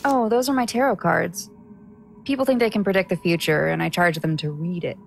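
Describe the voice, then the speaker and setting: A woman's voice: lowish voice, a little fast, vocal fry, soft background music. A woman playing a wry, chatty, self-doubting young stage magician in an audio drama. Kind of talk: casual audio drama acting.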